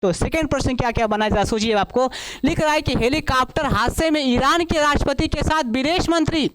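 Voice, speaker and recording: A male current affairs teacher giving an exam-prep lecture, hoarse from a long day of classes, who keeps asking himself questions and answering them. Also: extremely high pitch, fairly quick, background noise. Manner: asks himself questions and answers them, repeats key points.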